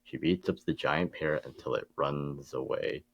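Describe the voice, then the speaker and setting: A man's voice: rumbling low, normal speed, creaky, lo-fi sound. A man narrating a table read, reading stage directions informally and stumbling over the script at times.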